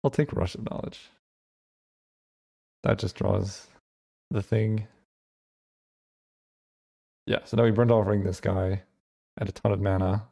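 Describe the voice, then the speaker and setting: Gravelly-voiced man thinking aloud. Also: lowish voice, little pitch movement, a little slow, pauses often, mellow. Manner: thinking aloud, rambling with fillers. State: voice getting rough and hoarse. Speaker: channel host. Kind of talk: casual gameplay commentary.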